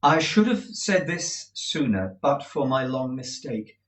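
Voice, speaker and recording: An earnest young man, read by a male narrator, pleads with anxious devotion. The voice is lively and a little raised. A roomy echo hangs on every line. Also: medium pitch, slow, far from the mic, voice pushed up. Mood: pleading.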